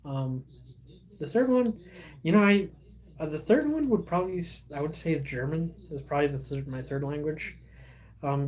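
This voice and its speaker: A man's voice: fairly high pitch, darkish tone, rough recording. Rambling man chatting on a livestream. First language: Spanish. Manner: rambling, halting, many fillers and restarts. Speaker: livestream host. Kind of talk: casual livestream chat with viewers.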